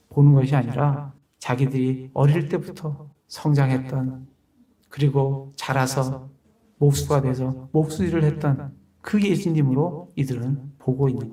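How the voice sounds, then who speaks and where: A man's voice: mid-range voice, dark tone, distant mic. A man preaching a sermon as pastor, explaining a passage verse by verse and asking rhetorical questions.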